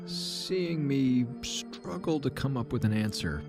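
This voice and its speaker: An actor playing a weary, regretful aging man, narrating his own story in a measured, reflective way for a fiction podcast. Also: medium pitch, expressive. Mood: wry, weary. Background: music.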